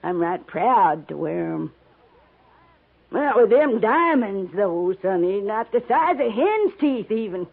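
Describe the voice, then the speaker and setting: A creaky-voiced wily old American frontier woman. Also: medium pitch, sing-song, takes it slowly, heavily acted. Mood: proud, folksy. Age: older. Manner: folksy rustic drawl, sly. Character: wily old frontier woman, thieving, sweet-talking, fond of whiskey. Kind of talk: 1950s radio drama acting. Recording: lo-fi 1950s radio recording.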